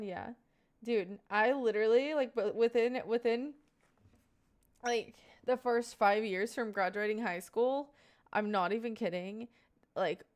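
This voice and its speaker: A woman's voice: medium pitch, clear, resonant tone. A woman in her twenties talking casually on a live stream, answering viewer questions in a rambling, earnest way full of fillers. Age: 20s.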